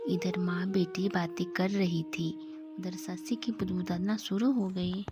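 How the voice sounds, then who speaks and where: Slow, low-voiced woman narrating a story. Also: fairly even intonation. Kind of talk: scripted children's story narration. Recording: music behind the voice.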